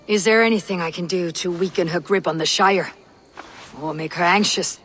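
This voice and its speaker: A woman's voice: on the low side, normal speed, fairly bright tone, soft background music, lightly acted. An actress playing a blunt, loyal Viking warrior woman in a game cutscene, terse and sardonic, with a wary edge. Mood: firm, loyal. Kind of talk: video game cutscene dialogue.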